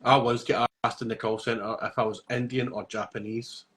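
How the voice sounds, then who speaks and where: A man's voice: mid-range voice, little pitch movement, normal speed, fairly bright tone. A man with a mid-range voice co-hosts a casual podcast chat. He jokes and laughs his way through anecdotes. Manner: jokey, laughs through stories. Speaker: podcast co-host.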